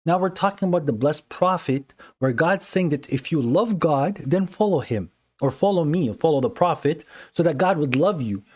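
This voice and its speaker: A man with a somewhat high voice hosts a live call-in debate over a phone stream. He explains his points in an earnest, insistent way. He cuts callers off when he has heard enough. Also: lively intonation, moderate pace. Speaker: livestream host debating callers. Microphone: phone livestream.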